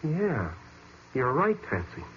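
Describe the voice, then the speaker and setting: A blunt, persistent male sidekick in a vintage radio detective show. His casual talk rises and falls in an animated way. The recording is muffled with a faint hiss of noise. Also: a little high, slow, heavily acted. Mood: puzzled. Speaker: actor in a radio detective drama. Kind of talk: old-time radio mystery acting.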